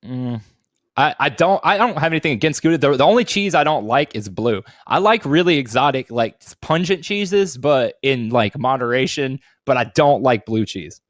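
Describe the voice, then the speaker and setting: A man's voice: mid-range voice, expressive, moderate pace, no echo, studio quality. A man co-hosting a podcast, bantering casually in slangy, sweary talk. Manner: profane, slangy. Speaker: podcast co-host. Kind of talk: casual chat with comedic banter.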